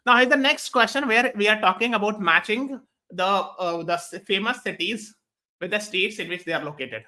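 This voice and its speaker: A man's voice: sits high, normal speed, pushed and bright. A man with an Indian accent teaching a live online exam class, switching between English and Hindi, repeating himself and checking that students follow. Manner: repeats phrases and checks understanding often. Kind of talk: live lesson, explaining quiz answers to students.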